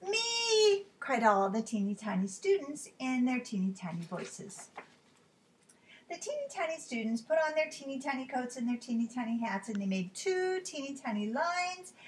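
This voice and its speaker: A middle-aged woman reading a children's book aloud in an expressive, deliberate teacher's manner at home. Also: on the high side, slight background noise. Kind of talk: read-aloud children's storytime. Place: home living room.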